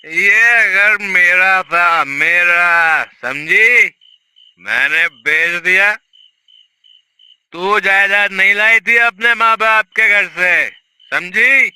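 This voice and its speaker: Man playing a slurring, slow drunken bully husband. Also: high-pitched, sharp tone, clean tone, no room sound, heavily acted, poor audio. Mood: defiant, scornful. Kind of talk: dramatized audio story acting.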